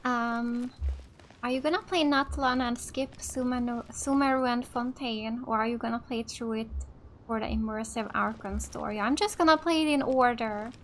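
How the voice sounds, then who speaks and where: High-voiced woman gaming casually. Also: normal speed, a little hiss or hum. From Finland. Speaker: gaming let's play host. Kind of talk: casual gameplay commentary.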